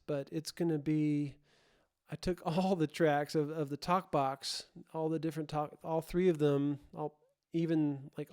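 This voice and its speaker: A man hosts an informal audio tutorial in a smooth, mellow voice that sits a little high. He thinks aloud in a halting way, restarting and rephrasing as he explains. The recording is close and dry. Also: no room sound. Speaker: host, audio production tutorial presenter.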